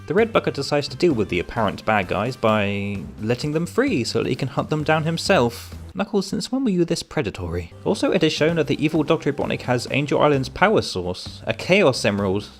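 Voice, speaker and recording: A man delivering a scripted review narration as a reviewer, with sardonic, wry asides. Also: mid-range voice. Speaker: comic book reviewer.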